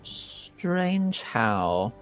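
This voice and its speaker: Tabletop game role: nosy, playful young noblewoman played by a man, impish and evasive, slow-paced, music behind. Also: medium pitch, sing-song, a bit bright, exaggerated voice. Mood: thoughtful. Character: curious, playful young noblewoman, eavesdropping little sister type.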